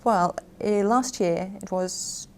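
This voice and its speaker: A woman's voice: lowish voice, slow, a little mellow. Lithuanian woman speaking hesitantly. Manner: hesitant, with many filler sounds. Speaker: internal auditor and community page organiser.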